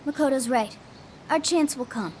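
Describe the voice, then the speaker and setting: A woman's voice: high-pitched, moderate pace, darkish tone, a little hiss or hum, natural voice. A woman voicing a decisive, upbeat young team leader in a video game. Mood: decisive, encouraging.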